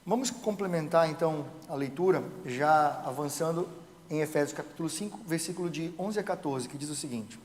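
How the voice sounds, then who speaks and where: A man's voice: fairly high pitch, darkish tone. Man explaining scripture in an echoey church. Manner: measured explanatory teaching, rhetorical questions. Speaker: Sabbath school teacher. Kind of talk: Bible study discussion. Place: church sanctuary. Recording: echoey church hall with long reverb.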